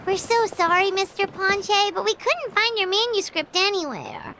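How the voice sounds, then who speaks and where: A woman's voice: extremely high pitch, animated, moderate pace, pushed and bright, a little hiss or hum, exaggerated voice, low-quality recording. A woman voicing a tiny, curious girl companion who chirps along in conversation. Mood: chirpy. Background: game music.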